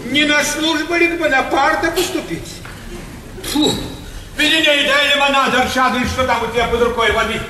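Blustering old aristocrat, explosive and grumbling, very high bright voice, echoing theatre stage. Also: male voice, steady tone, normal speed, exaggerated voice. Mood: exasperated. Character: irascible aging prince, exasperated and blustering. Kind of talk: theatrical stage comedy acting. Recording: echoey theatre stage, distant, faint hiss.

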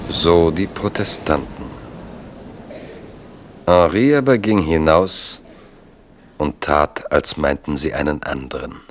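A man narrating a historical chronicle in a literary, measured and vivid radio drama style. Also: very deep voice. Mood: narrative. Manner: measured, vivid present-tense telling.

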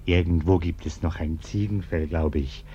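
Man as awkward respectable bourgeois gent, low voice, courteous, hesitant, radio drama, muffled recording. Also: fairly even intonation, moderate pace, warm tone, slight background noise, lightly acted. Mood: hushed, hesitant. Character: hesitant, polite, respectable bourgeois householder host. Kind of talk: German radio play acting.

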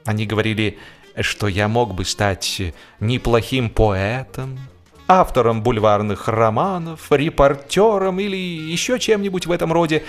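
A man giving a theatrical, humorous literary narration with expressive, ironic delivery and deliberate pauses. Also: medium pitch, faint music behind. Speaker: audiobook narrator and actor.

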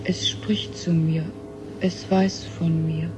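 A woman's voice: sits low, takes it slowly. An actress playing an artificially created alien woman in a German science fiction radio play, emotionless and naive, speaking in short, literal sentences. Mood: trance-like. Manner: detached, literal questioning in short flat sentences. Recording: dull 1970 radio recording with music.

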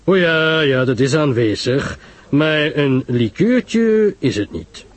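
A man playing a courteous, grave foreign detective in an audio drama, speaking in a formal, measured way. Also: on the high side, expressive, no room sound, pitched above the performer's own voice. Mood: polite.

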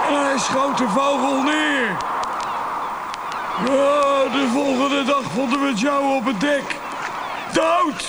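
A woman playing an ominous storyteller in a radio play, recounting a grisly sea tale with emphatic delivery. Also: sits high. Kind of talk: dramatic radio play monologue. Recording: loud noise behind the voice.

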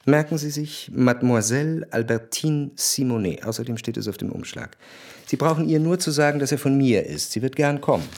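An actor playing a reflective, refined gentleman narrator in a radio drama, measured, precise and gently ironic. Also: mid-range voice, natural voice. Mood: polite, insistent.

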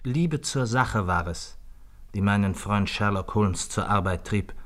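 An earnest gentleman storyteller, lowish voice, formal radio play narration, takes his time. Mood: measured, earnest. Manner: deliberate, formal. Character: Doctor Watson as measured, earnest male storyteller. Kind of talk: scripted first-person narration in a radio play.

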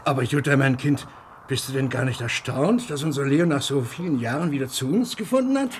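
A man's voice: mid-range voice, animated, brisk, natural voice. An actor playing a flustered, evasive middle-aged father in a German radio play, stammering and overpolite. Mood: awkward, evasive. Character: flustered, evasive, fussy father of grown children. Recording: noisy old radio recording.

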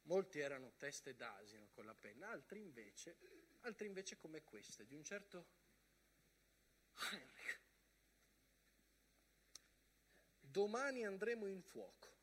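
An actor performing a theatrical monologue as a soldier-narrator of the First World War, reading front-line letters aloud in emphatic, broken phrases. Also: male voice, fairly high pitch, very animated, a little slow, fairly bright tone, slight background noise. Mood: reflective.

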